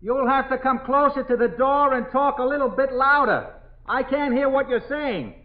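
An actor playing a steady, reassuring police sergeant who calls out plain questions in 1950s radio drama style. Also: male voice, very high-pitched, normal speed. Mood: firm, reassuring. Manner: raised voice, plain-spoken questioning. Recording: dull 1950s radio recording.

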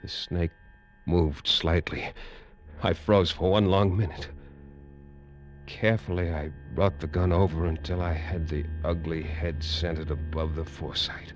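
A man's voice: low-pitched, steady tone, unhurried. A man narrating a 1950s adventure radio drama in the first person, with tense, hard-boiled delivery. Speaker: lead actor and narrator. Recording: dull old radio recording, faint music.

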